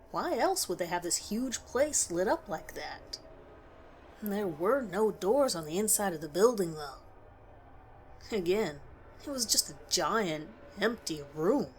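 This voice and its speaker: A woman reading a scripted first-person horror story in a measured storytelling way with expressive emphasis. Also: mid-range voice, a little hiss or hum, poor audio.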